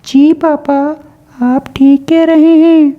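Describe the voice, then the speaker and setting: A tiny cartoon girl bird, played by a woman, with a high voice and slow delivery. She sounds childlike, innocent and polite. The recording is a bit dull. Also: flat delivery. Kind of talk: Hindi cartoon voice acting.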